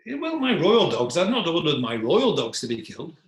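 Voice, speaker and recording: A man's voice: fairly high pitch, very animated, a little fast, echoey room, pitched above the performer's own voice. A man voicing an indignant, defensive storybook king with a blustering, exasperated manner. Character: indignant, defensive male king, quick to punish.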